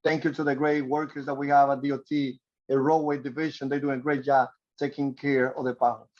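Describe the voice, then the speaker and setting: A man from the Republic, a transportation official giving earnest, halting testimony at a council hearing. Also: medium pitch, flat delivery, darkish tone, lo-fi sound. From Dominican Republic. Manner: earnest, halting, repeats words.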